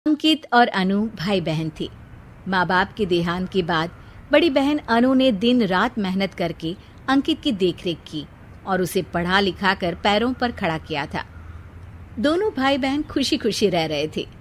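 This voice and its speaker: A woman's voice: medium pitch, lively intonation, measured pace, slight background noise. A woman storyteller reading a scripted story for children.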